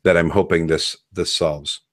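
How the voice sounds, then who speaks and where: A man in his forties hosting a casual chat about board games on a video call, quick with jokes and asides. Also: sits low, fairly even intonation. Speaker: host. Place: home room, posters on wall. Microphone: headphones on a video call.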